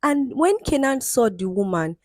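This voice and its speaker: A woman narrating a folktale in an expressive, dramatic storytelling manner. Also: fairly high pitch, measured pace, no room sound, clean studio sound.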